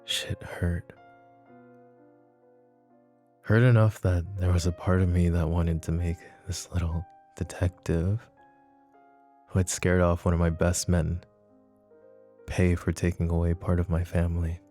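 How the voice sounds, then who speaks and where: A man acting a flirty, possessive mafia boss in an intimate ASMR roleplay, speaking softly and unhurriedly in a teasing, world-weary way. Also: low voice, level tone, a little slow, faint music behind. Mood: wistful, confessing. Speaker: voice actor.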